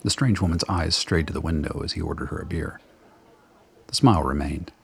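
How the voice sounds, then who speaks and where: A man with a husky, gravelly voice narrating a scripted radio drama as a storyteller. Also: very deep voice, fairly quick.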